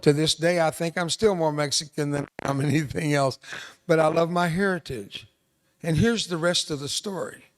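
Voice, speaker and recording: A man's voice: a little high, normal speed. An older man from South Texas whose first language was Spanish, giving an emphatic motivational speech to an audience and repeating his key lines. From South Texas, USA. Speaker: veteran speaker, resiliency trainer.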